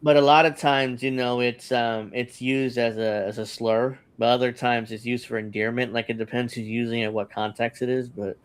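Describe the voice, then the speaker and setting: A man's voice: mid-range voice, little pitch movement, normal speed, few pauses, clean tone. A man hosting a casual panel discussion, speaking loosely with fillers and restarts. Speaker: show host.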